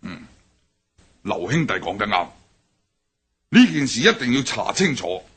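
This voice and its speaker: An actor playing a stern older triad boss in a radio drama, speaking with a Hong Kong Cantonese accent and a raspy, commanding manner. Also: male voice, fairly low pitch, sing-song, measured pace, a bit bright, heavily acted. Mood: grave. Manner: commanding, barks orders. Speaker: gang leader.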